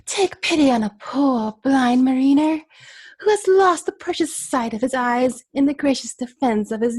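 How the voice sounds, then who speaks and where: A woman plays a sinister old blind beggar mariner. The voice is high and breathy, and each word is drawn out slowly in a whining plea, recorded dry and close. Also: no room sound, heavily acted. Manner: slow, whining and wheedling.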